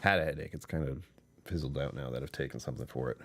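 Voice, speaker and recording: A man hosting a casual live stream, rambling and chuckling as he chats with viewers; he sounds tired and his voice is lower than usual. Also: fast. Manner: rambling, chuckling, talking to chat. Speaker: livestream host.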